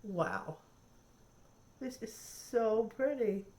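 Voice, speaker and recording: A woman's voice: fairly high pitch, lively intonation, very slow, dark tone. A woman chatting casually through a makeup tutorial, thinking aloud and admiring the colors, her voice a little nasal from a cold. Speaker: beauty vlogger.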